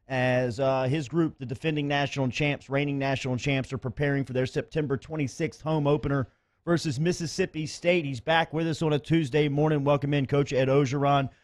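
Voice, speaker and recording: A man's voice: mid-range voice, steady tone, brisk. A man hosting a sports radio show, interviewing in a conversational style.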